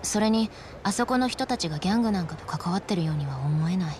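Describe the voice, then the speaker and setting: A woman's voice: lowish voice, lively intonation, fast, noisy background, close to an everyday voice. A woman playing a level-headed young companion in a game, composed and cool. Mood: thoughtful. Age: young adult. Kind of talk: Japanese game voice acting. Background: music.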